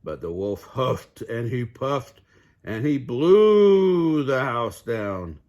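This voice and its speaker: A man narrating a children's fairy tale aloud in an expressive storytelling style. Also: mid-range voice, extremely slow, muffled recording. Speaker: storybook reader.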